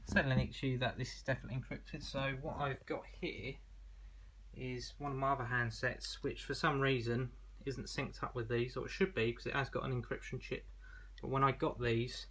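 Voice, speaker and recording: A man's voice: mid-range voice, level tone, normal speed, a bit bright, slight background noise. A man giving an informal product demonstration as an online seller, explaining in a conversational, slightly hesitant way.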